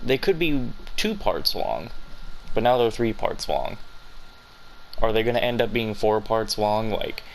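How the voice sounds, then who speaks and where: A man's voice: on the low side, expressive, measured pace, creaky, slight background noise, rough recording. A man giving casual gaming playthrough commentary in an informal, griping, sweary manner. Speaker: gaming video creator.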